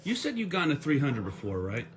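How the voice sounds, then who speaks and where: A man's voice: on the high side, brisk. A man hosting casual gameplay chat in a living room, joking as he watches a bowling video game. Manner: lively, joking commentary. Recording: game music behind.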